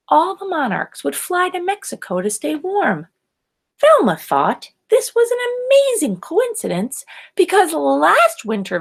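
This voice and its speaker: Slow, animated woman reading a storybook. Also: medium pitch, gravelly. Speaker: storybook reader. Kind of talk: expressive scripted children's story narration.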